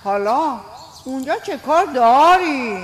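High, cawing, sly crow. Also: female voice, expressive, slight background noise. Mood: sly, teasing. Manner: cawing, teasing, drawn-out words. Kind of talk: children's radio play acting.

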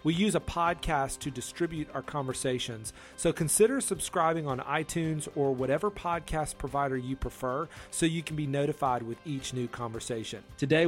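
A man hosting a church volunteer podcast, upbeat and encouraging as he talks with a guest. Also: a little high.

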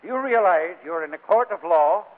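A man's voice: high voice, expressive, normal speed. An actor playing a formal, exasperated male judge with an official, stern manner in 1940s radio drama. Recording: muffled, noisy old radio broadcast.

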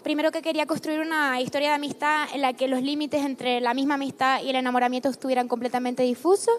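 A woman novelist speaking frankly and articulately on a panel, in long, steady sentences. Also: high voice, fast. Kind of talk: fluent panel discussion.

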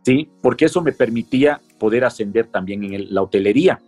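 A man's voice: mid-range voice, expressive, brisk, darkish tone, faint music behind. A middle-aged Mexican man with a Mexican accent, earnestly recounting his life story in an interview.

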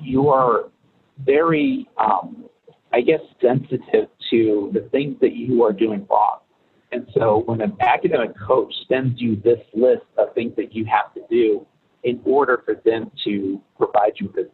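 A man explains his view reflectively and in a measured, conversational manner. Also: mid-range voice, slightly rough. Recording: muffled, phone-like audio.

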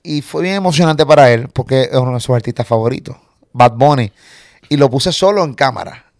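A man from Puerto Rico in his forties with a Puerto Rican accent, a comedian chatting casually in an interview and telling stories quickly, with some swearing. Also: mid-range voice, no echo. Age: 40s. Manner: animated, swearing, quick storytelling. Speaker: comedian guest.

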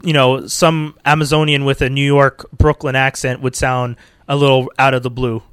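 A man hosting a casual movie review chat, rambling with restarts and quick laughs. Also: medium pitch, little pitch movement, a bit bright, clean tone, no echo, clean studio sound. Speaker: podcast host.

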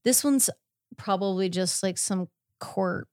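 A woman's voice: mid-range voice, slow, darkish tone, dry recording, clean studio sound. A woman podcast host chatting casually about a case, often hesitating and correcting herself as she goes. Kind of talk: casual chat recapping a court case.

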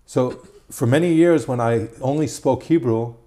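A middle-aged man with an Israeli accent, a guest and tour guide, talking casually in a measured, slightly halting way. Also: mid-range voice, mellow. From United States. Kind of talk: casual interview conversation.

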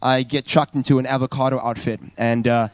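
A man's voice: medium pitch, level tone, fairly quick, smooth, no room sound. A man in his thirties, a youth pastor preaching a sermon from a church stage, earnest, with frequent uh's and restarts. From South Africa. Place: church stage, live service.

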